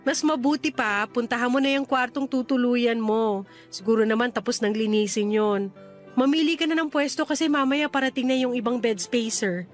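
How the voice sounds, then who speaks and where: A woman's voice: fairly high pitch, normal speed, soft background music, lightly acted. Teasing, practical landlady played by an actress. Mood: practical, playful. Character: friendly, teasing, guarded landlady in her thirties. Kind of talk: radio drama acting in natural conversation.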